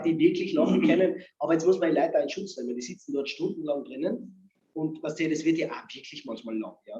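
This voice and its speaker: A man with an Austrian accent talks about humor in a lively, joking way with a mellow voice. His delivery is emphatic and animated. The sound is roomy and somewhat dull. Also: fairly high pitch. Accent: Austrian German. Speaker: humor speaker and seminar guest. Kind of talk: lively casual talk-show chat.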